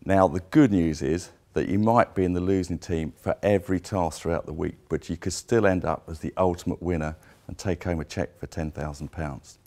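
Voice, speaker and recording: A middle-aged man judging a contest, addressing the contestants in a measured, serious way. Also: low voice, fairly even intonation, dark tone. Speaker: competition judge.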